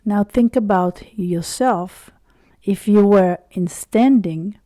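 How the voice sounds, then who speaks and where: A woman guiding a meditation in a soothing, unhurried way, pausing often between short phrases. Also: mid-range voice, mellow, dry recording. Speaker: meditation guide. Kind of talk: slow guided body-scan meditation.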